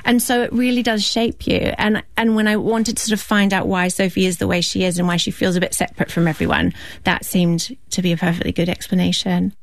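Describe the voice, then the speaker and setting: Nz woman in her 40s, author chatting in an interview, lowish creaky voice, thinks out loud. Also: fairly even intonation, a little fast, no room sound. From New Zealand. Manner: thoughtful, with soft restarts and fillers. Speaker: novelist interviewed. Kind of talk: reflective interview answers.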